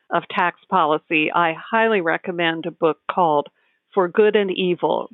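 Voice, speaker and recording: A woman's voice: fairly low pitch, no echo. Articulate woman on a tinny line. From United States. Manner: measured, articulate explanations. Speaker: tax advisor guest. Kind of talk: podcast interview.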